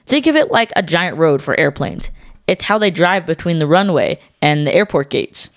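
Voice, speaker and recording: A woman with a low voice co-hosts a scripted educational podcast for children. She explains things in clear, simple terms, and the sound is very dry, with little room in it. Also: animated, moderate pace, dry recording. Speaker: podcast co-host.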